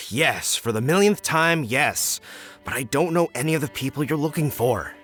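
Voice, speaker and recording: A man voicing an earnest, good-natured villager in a video game, with an expressive delivery. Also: medium pitch, moderate pace, faint music behind, close to an everyday voice. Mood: exasperated, puzzled. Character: weary but good-natured villager turned contest judge.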